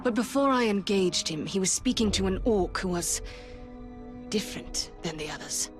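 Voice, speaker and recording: An actress playing a stern, proud female warrior with a cool, measured delivery in a video game. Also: mid-range voice, animated, over music, close to an everyday voice. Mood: cool briefing. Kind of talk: video game cutscene acting.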